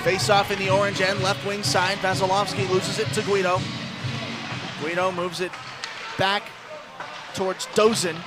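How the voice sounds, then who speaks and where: A man's voice: high voice, flat delivery, normal speed, pauses often, loud music behind the voice. A man calling live ice hockey on the radio as play-by-play announcer, in flowing descriptive calls that build on big plays.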